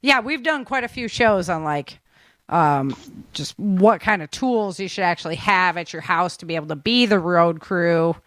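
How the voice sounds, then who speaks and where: Woman podcast host, lowish voice, chatty n rambling, really dry sound. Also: normal speed, no echo. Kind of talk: casual interview chat.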